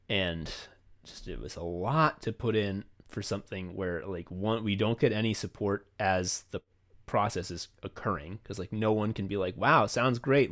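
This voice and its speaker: Guy with a lowish voice just chatting on a podcast, swears a lot. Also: a bit bright. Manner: frequent casual swearing. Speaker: podcast co-host. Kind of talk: casual behind-the-scenes podcast chat.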